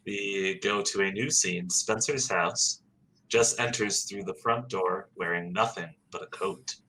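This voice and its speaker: Low-voiced man reading stage directions. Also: a little slow, sharp tone, a little hiss or hum, rough recording. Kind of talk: scripted narration of stage directions.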